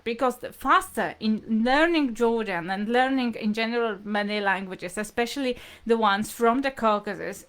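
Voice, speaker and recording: A woman speaks as a language teacher on a live stream. Her voice sits fairly high. She explains informally to her viewers, often circling back and repeating her phrasing as she searches for words. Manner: hesitant, repetitive, explanatory phrasing. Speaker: language teacher hosting livestream lesson.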